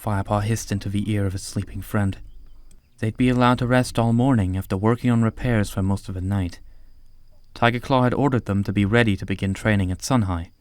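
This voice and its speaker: Guy narrating an animal story audiobook, lowish voice, dry close sound. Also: steady tone, fairly quick, a little mellow, dry recording.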